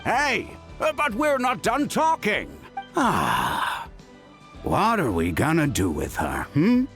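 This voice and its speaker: A man's voice: on the high side, slow, faint music behind, exaggerated voice. An actor playing a caring, middle-aged father and healer in a video game, chiding and chatting in an exasperated way. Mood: fretful, chatty. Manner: animated, chiding, conversational.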